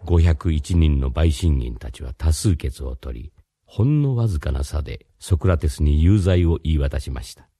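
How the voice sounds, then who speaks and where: A man's voice: a bass voice, animated, moderate pace, warm tone, slightly rough, dry recording, studio quality, exaggerated voice. A man playing a mysterious, courteous philosophy teacher, narrating in a scripted radio drama. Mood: solemn, explanatory.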